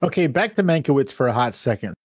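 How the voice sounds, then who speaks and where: A man's voice: mid-range voice, very animated, quick pace, husky, no echo, studio quality, soft background music. Podcast host man, casual questions. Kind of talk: casual interview chat.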